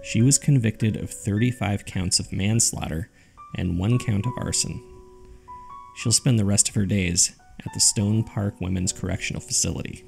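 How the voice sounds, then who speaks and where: Man, low voice with heavy fry, scripted horror podcast narration, music underneath. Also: steady tone, moderate pace. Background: background music.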